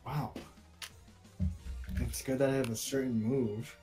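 A man's voice: medium pitch, fairly even intonation, unhurried, dark tone, soft background music. A man chatting casually while he plays a video game with friends. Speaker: player in an online game video. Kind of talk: casual gaming chat.

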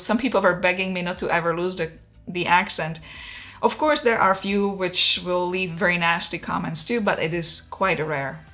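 A woman in her forties from the Czech Republic with a Czech accent, chatting casually and laughing at herself as a photography vlogger, recorded on a lapel mic in a home studio. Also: on the low side. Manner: relaxed, chatty, self-deprecating, gestures with her hands. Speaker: photography YouTuber. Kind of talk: casual talking-head vlog. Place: home studio room, indoors. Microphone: wireless lapel mic.

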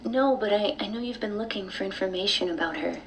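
An actress playing a mysterious, reclusive woman with occult leanings in an audio drama, measured and calmly insistent. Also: mid-range voice, a little hiss or hum, lightly acted, low-quality recording. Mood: secretive, insistent. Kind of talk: naturalistic audio drama acting.

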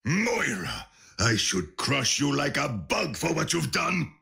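A huge hostile brute from a video game. His gravelly voice is very rough and hard-edged, and he drags his words out slowly. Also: mid-range voice, huge pitch swings, sharp tone, full character voice. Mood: threatening.

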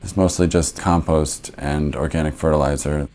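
A man's voice: extremely low pitch, fairly even intonation, normal speed, darkish tone. A young American man, a farmer, explaining soil preparation in a matter-of-fact, methodical way in a documentary interview recorded indoors on a lapel mic. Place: living room, indoors.

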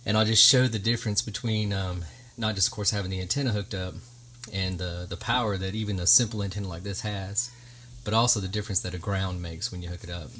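A middle-aged man with a fairly low voice explains things casually, pausing often as he goes. He is recorded on a handheld camera with faint background noise. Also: steady tone, fairly bright tone. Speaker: homestead vlogger. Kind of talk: casual hands-on demonstration. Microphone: handheld camera, speaker behind it.

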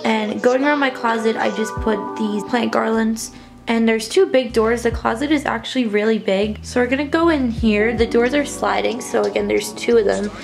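Raspy young woman giving a chatty tour. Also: on the high side, normal speed, over music. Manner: chatty, upbeat, rambling with fillers. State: congested from allergies. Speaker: college program intern. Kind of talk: casual room tour vlog. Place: small apartment bedroom. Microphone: handheld phone camera.

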